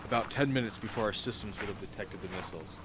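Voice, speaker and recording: A man playing a steady, matter-of-fact military officer in an amateur radio drama, blunt and businesslike. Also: lowish voice, quick pace, natural voice. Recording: clearly noisy outdoor-like ambience.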